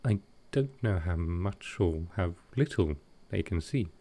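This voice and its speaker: A man playing a gentle, awkward male vampire in an intimate comfort roleplay, speaking tenderly and haltingly. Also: low-pitched, expressive, full character voice. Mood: quietly focused. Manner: halting, hesitant, tender, soft-spoken.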